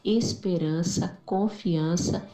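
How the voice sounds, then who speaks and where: A woman's voice: on the low side, slow, dark tone, reverberant, low-quality recording. A Brazilian woman from São Paulo state giving a recorded testimony to a priest, speaking in an earnest, grateful way. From Cubatão, São Paulo, Brazil. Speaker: listener sending a testimony.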